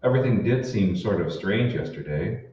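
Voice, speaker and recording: A man's voice: fairly low pitch, expressive, normal speed, mellow, lightly acted. Man playing a puzzled husband, echoey and distant. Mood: shaken, confused. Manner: hesitant, bewildered, exclamatory. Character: puzzled, irritable married office man in sci-fi radio play. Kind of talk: old-time radio drama acting. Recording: roomy, muffled, distant stage recording with noise.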